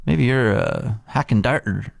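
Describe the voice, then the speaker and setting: Male radio co-host, 30s, animated casual delivery, dry close studio sound. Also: mid-range voice, measured pace, gravelly, dry recording. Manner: lively, rambling, laughs a lot. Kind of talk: casual morning radio chat.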